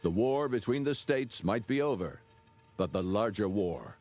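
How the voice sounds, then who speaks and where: A man's voice: lowish voice, expressive, measured pace, a little mellow. A man playing a stern secret-agency director giving a formal, oratorical address in a video game. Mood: solemn, resolute.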